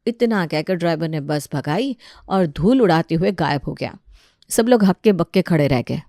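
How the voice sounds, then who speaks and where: A woman's voice: fairly low pitch, expressive, normal speed, warm tone, dry recording. Woman narrator, dry close studio sound. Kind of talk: scripted narration of a family comedy story.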